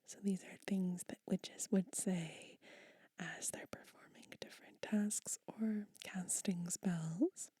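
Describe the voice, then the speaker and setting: A woman whispers slowly and breathily, her mellow voice kept soft and close. She reads verses and chats between them in a hushed ASMR style. The sound is dry, with no room around it. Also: fairly low pitch, steady tone, breathy edge, no echo. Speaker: ASMR reader. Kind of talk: whispered ASMR book reading.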